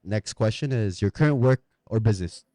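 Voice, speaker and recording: A man's voice: fairly low pitch, expressive, a little fast, warm tone, no room sound. A man hosting a podcast, chatting casually in slangy, informal language as he interviews a guest. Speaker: podcast host.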